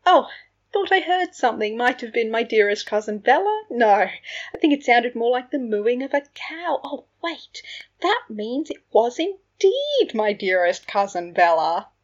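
A woman voicing a brash, sarcastic male wizard rebel in a storybook, mocking, loud and theatrical. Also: on the high side, very animated, a little slow, highs cut off, voice pushed up.

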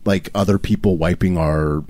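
Man, baritone, very low pitch, laid-back, easygoing podcast chat, dry close mic. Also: steady tone, moderate pace, darkish tone, no room sound. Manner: laid-back, laughs often, filler-heavy. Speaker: podcast co-host. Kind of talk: casual comedic chat.

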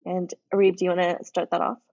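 A woman's voice: lowish voice, little pitch movement, quick pace, darkish tone, no echo. A woman moderating a casual panel of medical graduates, with a casual manner full of fillers. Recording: dull lo-fi audio, dry.

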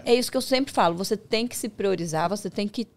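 A woman chatting as a podcast guest, telling her story with lively jumps in pitch when she gets excited. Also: fast. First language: Portuguese. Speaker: podcast guest, micropigmentation professional. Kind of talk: casual conversation.

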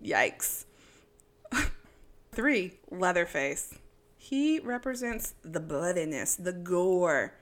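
A woman's voice: lowish voice, expressive, very slow, long pauses. A woman from East Texas co-hosting a casual podcast, blunt and jokey, laughing often. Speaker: podcast co-host.

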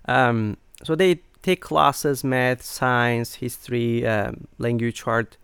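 Guy, interview guest, lots of um you know, crisp clear voice. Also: mid-range voice, fairly even intonation, takes it slowly, fairly bright tone, dry recording. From Turkey. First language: Turkish. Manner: hesitant, many fillers and restarts. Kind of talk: conversational interview.

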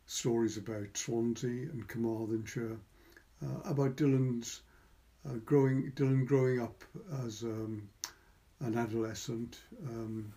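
A Welsh man with a soft, dark-toned voice of middling pitch. He speaks as a curator and reader, moving through explanation and literary prose in a relaxed way. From Wales. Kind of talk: informal introduction and literary story reading.

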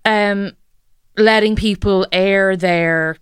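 A woman's voice: mid-range voice, fairly even intonation, extremely slow, clean tone, no echo, studio quality. A woman chatting as a podcast guest, laughing often and full of likes and restarts. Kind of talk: casual chat.

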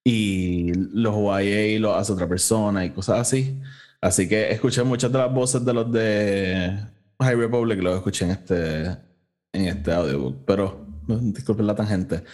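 A man's voice: fairly low pitch, a little slow, darkish tone. A man with a Puerto Rican Spanish accent hosting a podcast in casual chat, informally mixing in English words. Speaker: podcast host.

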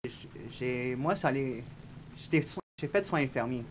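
A young man with a Spanish accent, a guest on a podcast interview, talking in a soft, reflective, shy way. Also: medium pitch, normal speed, a little hiss or hum. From Spanish-speaking country. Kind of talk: casual interview chat.

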